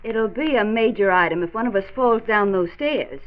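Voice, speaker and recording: A woman's voice: medium pitch, animated, moderate pace, natural voice. An actress playing a worried, exasperated young wife and mother in a 1940s radio drama. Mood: anxious nagging. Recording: muffled, noisy 1940s radio transcription recording.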